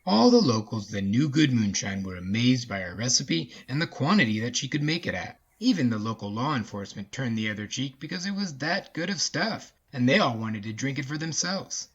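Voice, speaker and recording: A man's voice: mid-range voice, big pitch swings, fairly quick, hardly any pauses, slightly rough, highs cut off. A man narrating a scripted folksy story as the narrator of an audio drama.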